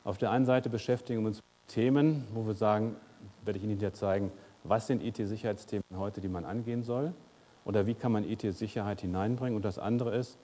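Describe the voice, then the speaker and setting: A man's voice: lowish voice, steady tone, fairly quick, dark tone, slight background noise. A man in his fifties giving a conference keynote in a large lecture hall, speaking conversationally with frequent "uh" fillers. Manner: gestures and moves about the stage while speaking. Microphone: lapel mic. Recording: long hall reverb.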